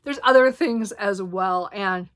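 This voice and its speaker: Female book vlogger, casual and chatty, bright tone, slight hoarseness, meandering delivery full of fillers. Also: mid-range voice, a little slow. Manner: rambling, many ums and self-corrections. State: slightly hoarse, clearing throat. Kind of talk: casual book haul chat.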